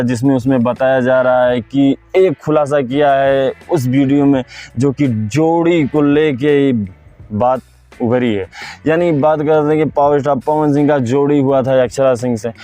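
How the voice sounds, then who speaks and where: Man, Bhojpuri-accented Hindi, mid-pitched voice, informal gossip commentary, direct questions to viewers, faint music behind. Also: mellow. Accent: Bhojpuri-influenced Hindi. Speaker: host of a film gossip video channel.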